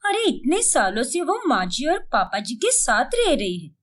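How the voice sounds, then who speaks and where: A young daughter-in-law from a family drama story, acted by a woman. She is indignant and quick to scold. Her high voice moves up and down with very lively intonation. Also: heavily acted. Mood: troubled musing. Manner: scolding, self-doubting, dramatic.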